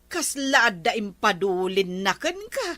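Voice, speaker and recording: A woman's voice: mid-range voice, very animated, unhurried, sharp tone, dry recording. A woman with an Ilocano accent playing an exasperated, complaining village wife in a radio comedy drama.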